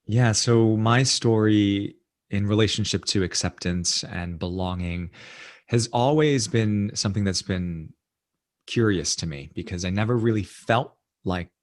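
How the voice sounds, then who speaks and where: A man from the United States, a guest author, recounting his life in a thoughtful, measured interview, often adding "you know" and "right?". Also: on the low side. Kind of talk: reflective storytelling in interview.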